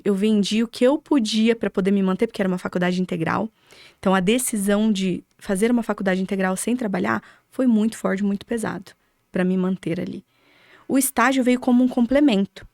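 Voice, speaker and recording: A woman's voice: medium pitch, darkish tone, dry recording, clean studio sound. A young adult woman with a Brazilian accent answering as a podcast guest in an emphatic, motivational way, often posing rhetorical questions. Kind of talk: conversational interview answers, motivational.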